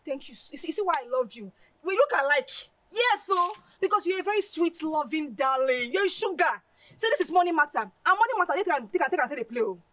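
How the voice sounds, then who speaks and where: An actress playing a cajoling, money-minded young best friend with a Nigerian accent, coaxing and pleading theatrically in Pidgin-tinged phrasing. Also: female voice, on the high side, expressive, very fast, hardly any pauses, a little hiss or hum, lightly acted. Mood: gushing, coaxing. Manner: wheedling, coaxing, repetitive pleading. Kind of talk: lively screen drama acting with Pidgin phrasing.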